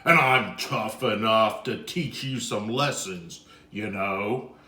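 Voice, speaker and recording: A man's voice: fairly low pitch, takes it slowly, sharp tone, roomy sound. A man voicing a brash, tough alphabet letter with a swaggering manner in a children's story. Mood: cocky.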